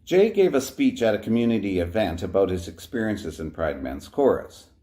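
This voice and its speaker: A man's voice: on the low side, big pitch swings, moderate pace. A man hosting a show, introducing a performance, reading an excerpt aloud and telling a story about a choir member. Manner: warm storytelling, quoting himself and others. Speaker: host.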